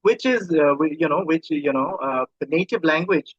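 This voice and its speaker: A man's voice: on the high side, moderate pace, husky, no room sound, highs cut off. A man from Bangladesh with a Bangladeshi accent, a native Bengali speaker, talking casually as an interview guest and often repeating himself hesitantly.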